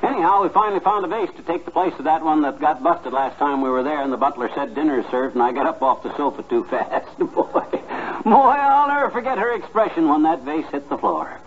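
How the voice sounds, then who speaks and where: An actor playing a folksy, blustering, boastful middle-aged husband with slangy diction in 1950s radio comedy style. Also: male voice, fairly high pitch, a little fast, hardly any pauses, natural voice. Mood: chatty. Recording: tinny, noisy old radio recording.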